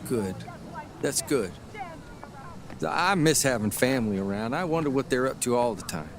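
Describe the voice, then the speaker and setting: An actor playing a mild, polite 1930s traveling newspaperman in a period audio drama, speaking in a halting, noncommittal way. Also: medium pitch, expressive, crowd noise, noisy background, lightly acted. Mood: wistful. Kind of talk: period radio drama acting.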